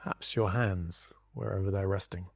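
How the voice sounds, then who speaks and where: A man leading a guided body scan meditation as a teacher, speaking in calm, gentle instructions with long pauses. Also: sits low, vocal fry, dry recording.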